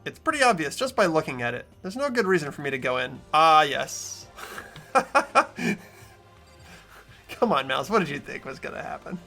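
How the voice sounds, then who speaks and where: A man's voice: fairly high pitch, big pitch swings, moderate pace, pauses often, pushed and bright, soft background music. A young man hosting a game playthrough, reading story narration aloud and chatting casually. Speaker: host and lead reader of a game playthrough. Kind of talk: voiced game narration mixed with casual chat.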